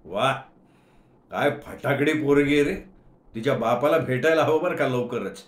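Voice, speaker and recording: A man's voice: mid-range voice, normal speed, close to an everyday voice. A man voicing a cocky, unflappable young charmer who speaks casually and breezily. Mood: breezy. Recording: roomy echo.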